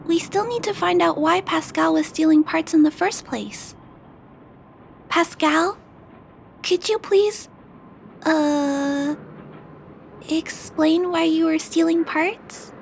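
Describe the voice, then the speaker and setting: A woman voicing a kind, earnest young girl in a video game, polite, soft-hearted and resolute. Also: extremely high pitch, slow pace, creaky, pauses often, slight background noise, heavily acted. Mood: relieved, curious. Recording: music behind the voice.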